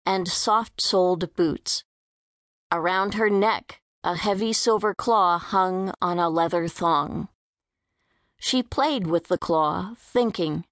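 A woman reading a scripted story as an audiobook narrator, in a measured, careful manner. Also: medium pitch, dry recording, studio quality.

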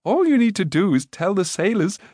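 A man's voice: high voice, very animated, fairly quick, darkish tone, no room sound, clean studio sound, pitched above the performer's own voice. A man voicing a cheerful, scatterbrained young prince who chatters in a breezy way. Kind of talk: audiobook character voice.

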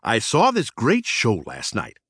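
A man voicing a brash, mischievous mouse cousin in a children's storybook, squeaky and giggly, with cocky, teasing delivery. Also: huge pitch swings, unhurried, fairly bright tone, breathy edge, no echo, studio quality, exaggerated voice. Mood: eager, scheming. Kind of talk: acted children's storybook dialogue.

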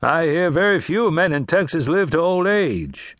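A man's voice: on the high side, expressive, moderate pace, fairly bright tone, dry recording, studio quality, pitched above the performer's own voice. A male narrator voicing a suave, talkative middle-aged traveling salesman. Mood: genial. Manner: smooth, chatty.

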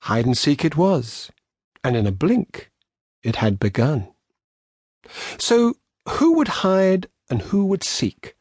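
Man, children's storybook narrator, unhurried, slightly rough-edged voice, scripted verse, measured delivery. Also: on the high side, very animated, a little mellow, no room sound, clean studio sound. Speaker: audiobook narrator.

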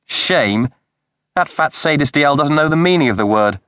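A male audiobook narrator voicing a blunt, sharp-tongued young woman who is quick to protest. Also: a little high, animated, dry recording, studio quality, pitched above the performer's own voice. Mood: indignant.

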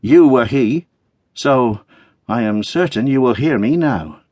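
Storybook young sailor , played by a man: earnest, loyal, pleading, husky, expressive pitch. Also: slow, no room sound. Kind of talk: dramatic storybook character reading.

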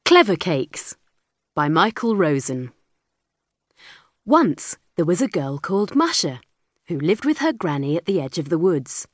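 A woman's voice: lowish voice, big pitch swings, a little slow, long pauses, dry recording, studio quality. A woman narrating a children's story from a script as an audiobook reader.

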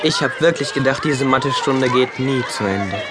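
An actor playing a cheeky, quick-witted schoolboy in a German children's audio drama. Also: male voice, mid-range voice, over a noisy crowd, very noisy, lightly acted. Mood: chatty. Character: cheeky schoolboy Willi, teasing older-sounding brother, quick-witted.

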